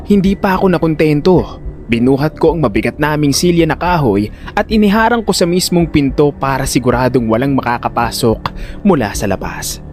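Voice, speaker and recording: A man playing an anxious, protective older brother who tells his suspense story in first person, with dramatic narration and acted dialogue. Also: a little mellow. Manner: dramatic storytelling, exasperated and high-pitched in dialogue.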